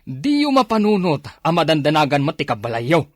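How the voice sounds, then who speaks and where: Grumpy filipino dad in radio drama, scolding, higher voice that swings around, dry sound. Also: male voice, sing-song, moderate pace, no room sound. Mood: angry, scolding. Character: stern, short-tempered older father and husband.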